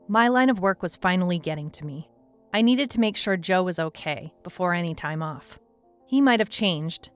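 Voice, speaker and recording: A woman's voice: fairly low pitch, fairly bright tone, smooth. A wry female detective over music. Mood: wry, reflective. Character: wry, anxious female detective narrating her own case. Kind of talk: noir-like scripted first-person audio drama narration.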